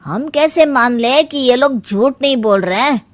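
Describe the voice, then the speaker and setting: Woman voicing a slow, high, skeptical in-law. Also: no room sound, clean studio sound. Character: skeptical, probing parent-in-law of a cartoon family. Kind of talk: cartoon dialogue, Hindi moral story.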